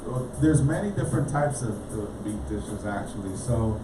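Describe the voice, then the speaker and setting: A Guyanese-born lawyer, a man, guesting on a radio talk show, speaking haltingly with many repeated words. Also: medium pitch, lively intonation. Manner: halting, repeats words, spells things out slowly. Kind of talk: casual radio interview. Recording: echoey room, mic far off, noisy.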